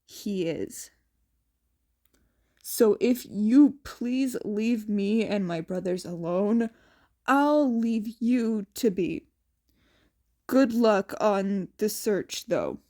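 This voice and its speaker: Weary, teasing big brother for a podfic, played by a woman: dropped pitch, dry, casual delivery. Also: extremely slow, pauses often, dark tone. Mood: polite, weary. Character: sardonic teenage boy, weary teasing older brother.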